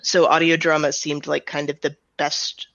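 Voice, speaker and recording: A woman's voice: sits low, little pitch movement, moderate pace, a bit bright, dry recording, highs cut off. An American woman giving casual, explanatory answers as an interview guest. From United States. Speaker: audio drama writer and director, interview guest.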